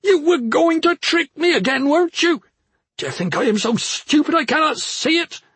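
Guy doing an audiobook crazed angry young man w magic, screaming accusations, strained n way high pitched, frantic. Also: expressive, moderate pace, fairly bright tone, no room sound. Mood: furious, screaming. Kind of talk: fantasy audiobook character acting.

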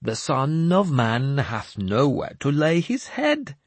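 A man voicing a mad, sly, self-proclaimed bishop who talks in a high, slow, sing-song preaching way. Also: sing-song, husky, dry recording, exaggerated voice.

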